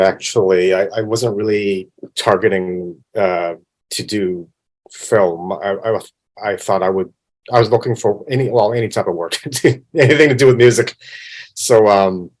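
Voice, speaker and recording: A man's voice: on the low side, a little slow. A man from Canada, a guest on a podcast, answering casually with frequent laughs and restarts. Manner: relaxed, laughs often, many restarts. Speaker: film composer, guest. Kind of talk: casual interview.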